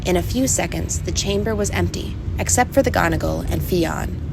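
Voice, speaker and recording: An audiobook narrator reading a story in an expressive, scripted style. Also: mid-range voice, moderate pace, vocal fry, noisy background.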